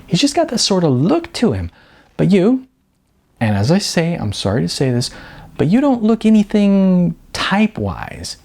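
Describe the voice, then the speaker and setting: A man voicing a blunt, brisk female modeling agent in an audiobook, emphatic and patronizing, with chatty endearments. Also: high voice, wildly swooping pitch, creaky, a little mellow, voice pushed up, full character voice. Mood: blunt, dismissive. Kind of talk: audiobook character dialogue.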